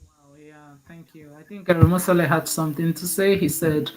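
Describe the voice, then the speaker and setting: A woman's voice: on the low side, fairly even intonation, fairly quick, darkish tone. Encouraging woman hosting. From Nigeria. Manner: encouraging, repeats words while gathering thoughts. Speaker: host. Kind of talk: livestream interview hosting.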